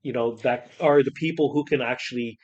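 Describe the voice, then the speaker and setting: A man co-hosts a relaxed podcast conversation. His speech comes in halting stretches, often restarting a sentence before finishing the thought. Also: mid-range voice, muffled recording. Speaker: podcast co-host. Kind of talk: casual discussion.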